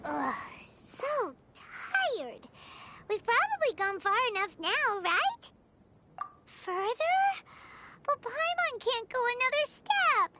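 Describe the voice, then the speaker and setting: A woman voicing a tiny, perky fairy girl companion in a video game, childlike, squeaky and exclamatory. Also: big pitch swings, takes it slowly, sharp tone, a little hiss or hum, heavily acted. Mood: tired, whiny. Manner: speaks of self in third person, exclamatory.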